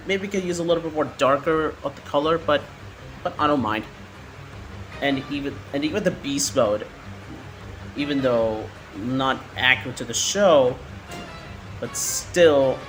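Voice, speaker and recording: A man narrating a hands-on toy review in a halting way, often repeating his words. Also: medium pitch, faint music behind.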